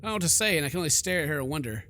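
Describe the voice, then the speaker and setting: Bright, forceful male gaming host. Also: on the high side, lively intonation, racing, a little hiss or hum. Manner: jokey, theatrical reactions. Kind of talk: let's play commentary mixed with reading game text aloud.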